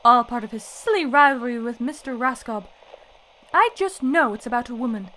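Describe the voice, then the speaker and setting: A woman's voice: a little high, animated, normal speed, fairly bright tone, rough recording. A woman playing a chatty, gossip-loving caller to a radio show, breezy and earnest, in a radio drama. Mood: eager, gossipy.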